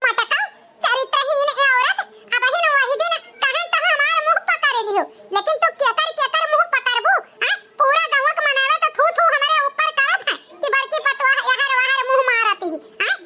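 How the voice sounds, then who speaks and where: A performer with a pitched-up, squeaky voice and an eastern Uttar Pradesh accent, voicing a melodramatic, complaining village woman in a comedy cartoon. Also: moderate pace, pushed and bright, a little hiss or hum, exaggerated voice. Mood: scolding, accusing. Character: scolding village mother-in-law and wronged widowed daughter-in-law, cartoon women.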